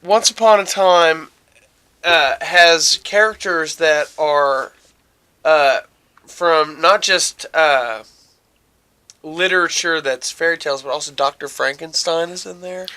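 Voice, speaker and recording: Bright-voiced man, halting casual chat. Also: a little high, clean tone. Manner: halting, searching for words, often repeating phrases. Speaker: podcast host.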